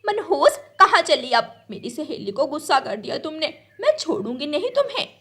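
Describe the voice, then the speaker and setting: A woman's voice: high-pitched, big pitch swings, moderate pace, fairly bright tone, pitched above the performer's own voice. A woman voicing a bad-tempered, haughty, scolding female crow in a cartoon. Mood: furious.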